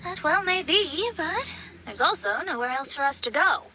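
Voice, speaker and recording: A woman's voice: sits high, expressive, moderate pace, over loud music. A woman voicing a refined, aloof young lady in a video game, with a formal, theatrical manner. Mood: troubled.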